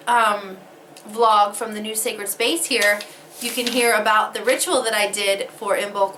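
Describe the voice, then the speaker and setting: A woman's voice: fairly high pitch, sharp tone, slight background noise. A woman talking casually to camera, losing her voice from a head cold, laughing often and swearing casually. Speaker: vlogger.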